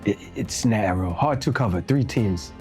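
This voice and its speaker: A man reading the part of a conflicted tracker at a table read, quiet and hesitant. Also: fairly low pitch, lively intonation, normal speed, soft background music, close to an everyday voice. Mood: guarded. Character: guarded young male tracker, conflicted.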